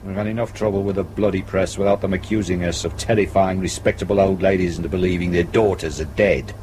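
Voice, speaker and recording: Radio drama police boss, played by an actor: gruff, impatient British man, lowish voice, noisy setting. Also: fairly even intonation, quick pace, close to an everyday voice. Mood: commanding. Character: gruff, impatient senior police detective in command.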